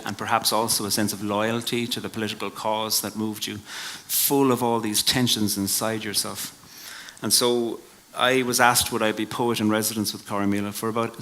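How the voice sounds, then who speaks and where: Soft-spoken Irish man, low and bright. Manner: measured and reflective, drops to a hush at line ends. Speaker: poet giving a talk. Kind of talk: live talk with poetry readings. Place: live venue before an audience.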